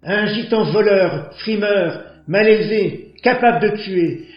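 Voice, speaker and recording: An actor playing a young man who lives in a caravan, and who later lectures a sleeping man as the voice of his conscience. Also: a little slow. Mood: accusing. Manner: accusing, declamatory moral speech. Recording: echoey, dull low-fi with faint music.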